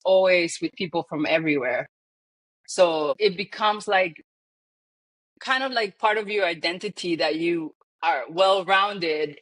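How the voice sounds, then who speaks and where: A woman from the Dominican Republic with a Dominican accent, a guest telling stories in a relaxed interview and laughing often. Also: on the low side. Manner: animated storyteller, laughs often, acts out quoted people. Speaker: guest, real estate founder. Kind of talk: conversational interview.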